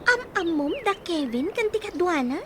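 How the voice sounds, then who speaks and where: An actress with an Ilocano accent playing a curious, excitable young woman in a radio drama. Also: very high voice, normal speed, background noise.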